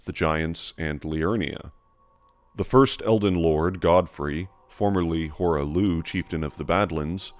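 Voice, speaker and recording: A man narrating a scripted, analytical lore video in a measured, explanatory way. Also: low voice, dry recording.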